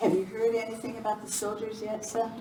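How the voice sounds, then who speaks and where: A woman's voice: a little high, level tone, fairly quick, music in the background, lightly acted. A woman playing a fretful frontier mother in a live radio western. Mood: worried. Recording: distant mic, echoey hall, faint noise.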